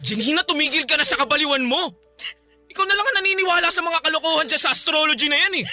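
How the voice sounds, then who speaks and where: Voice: high-pitched, fast talker, bright, forceful tone. A performer playing an exasperated, career-minded young man, a fed-up ex-boyfriend, in a Filipino radio drama with impatient delivery. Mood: angry. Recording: faint music behind the voice.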